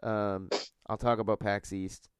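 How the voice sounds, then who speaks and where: A man's voice: fairly low pitch, little pitch movement, unhurried, clean tone, no room sound. A man hosting a podcast, congested from a cold, chatting casually in a rambling, filler-heavy way. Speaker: podcast host.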